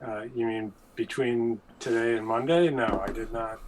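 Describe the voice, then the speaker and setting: A man's voice: mid-range voice, fairly even intonation, normal speed, rough recording. A man speaking casually as a guest on a talk show. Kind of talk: casual chat. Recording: noticeable background noise.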